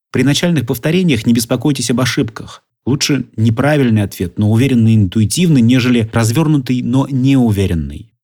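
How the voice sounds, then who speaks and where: A man teaching a language course, giving calm, methodical instructions and prompting translations. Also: medium pitch. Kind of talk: instructional explanation.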